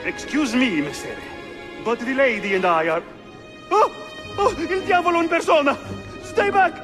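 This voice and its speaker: Game character: preening Italian womanizer, very high-pitched, wildly animated, florid and melodramatic, Italian accent. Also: moderate pace, music in the background. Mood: alarmed. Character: flamboyant, cowardly Italian suitor, pompous womanizer. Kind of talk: game cutscene acting.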